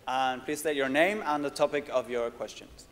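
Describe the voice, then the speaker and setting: A man moderating a festival panel, brisk and organizing. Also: medium pitch, fairly bright tone. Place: large festival hall. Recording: reverberant hall, faint noise.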